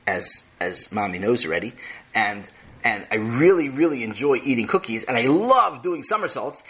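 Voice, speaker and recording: A cheeky little boy rambles and stammers through his excuses, as a man acts him out. The voice is husky yet bright, bouncing around in pitch.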